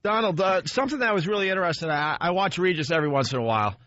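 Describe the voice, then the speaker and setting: Slightly high-voiced teasing male radio host. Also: animated, racing, a bit bright, no echo. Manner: informal, teasing. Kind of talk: casual radio banter and interviewing. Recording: slightly dull lo-fi radio recording.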